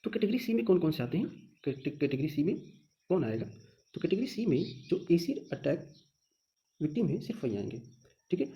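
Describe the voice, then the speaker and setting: A man's voice: fairly high pitch, very animated, fairly quick, pauses often, dull audio. A man with an Indian accent teaching informally in an explainer style, posing questions and answering them himself with frequent check phrases. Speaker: online teacher explaining a job exam notification.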